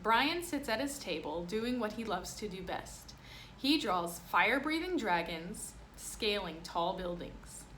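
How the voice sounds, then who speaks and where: A woman's voice: mid-range voice, fairly bright tone. A woman reading a picture book aloud to children and explaining it in simple asides. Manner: plain, explanatory, child-directed phrasing. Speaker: read-aloud storyteller, teacher addressing children. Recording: clear background noise.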